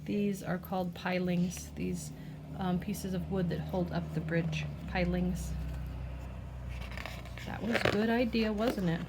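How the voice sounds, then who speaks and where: A woman's voice: mid-range voice, a little hiss or hum. A woman reading a children's picture book aloud expressively, like a teacher, with explanatory asides and questions to the listener. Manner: explains words and asks the listener gentle questions.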